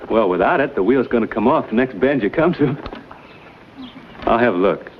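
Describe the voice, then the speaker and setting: A relaxed American frontiersman, played by a man, helpful and a little wry, who drawls and hesitates with slow uhs. His voice sits at an average pitch for a man. The sound is muffled and dull, with a faint hiss. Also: lightly acted. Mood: explaining. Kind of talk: period TV drama acting.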